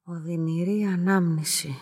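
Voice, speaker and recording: An actress playing a young, lonely woman who narrates her own story in a radio drama, soft, restrained and matter-of-fact. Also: on the low side, steady tone, husky. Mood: subdued, hesitant. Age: young adult. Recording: music behind the voice.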